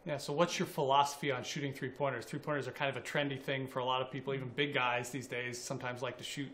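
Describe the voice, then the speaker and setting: A man's voice: mid-range voice, little pitch movement, fast, fairly bright tone. A man hosting a college sports interview, asking questions in a friendly, conversational way with fillers. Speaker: interviewer host.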